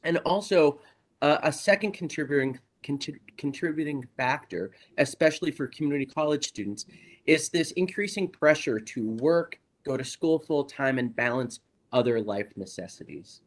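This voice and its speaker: A hoarse man, a college administrator, gives an earnest, measured presentation to a board over a video call. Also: on the high side, animated. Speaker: college administrator giving a report. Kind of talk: formal presentation at a board meeting.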